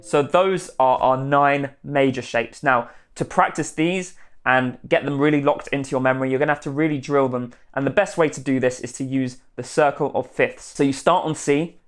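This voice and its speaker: A man presenting an instructional guitar tutorial, spelling out each step clearly as the teacher and host. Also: medium pitch. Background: guitar playing.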